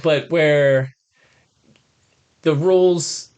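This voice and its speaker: A man's voice: a little high, extremely slow, clear, resonant tone. American man ranting casually, incredulous and sweary. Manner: animated incredulous ranting with mock quotes and swearing. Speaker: podcast co-host. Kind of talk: casual film review chat.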